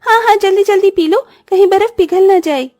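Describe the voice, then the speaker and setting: A woman's voice: very high voice, a little fast, darkish tone, no room sound, studio quality. A woman voicing an eager, childlike orphan girl who exclaims often, in a cartoon story. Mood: amazed, eager. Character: excitable young orphan sisters in a cartoon. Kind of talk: Hindi cartoon story dialogue.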